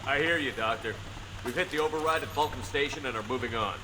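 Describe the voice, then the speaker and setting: A man's voice: mid-range voice, very noisy, lightly acted. A man playing a resolute military commander in a sci-fi game, answering tersely and matter-of-factly. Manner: brisk, terse, matter-of-fact. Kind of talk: scripted game radio dialogue.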